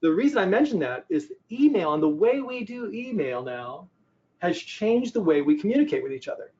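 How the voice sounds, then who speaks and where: A man's voice: sits high, sing-song, normal speed, a little mellow. An older man giving a conversational presentation on business technology, explaining things by posing questions and answering them. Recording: dull, lo-fi audio.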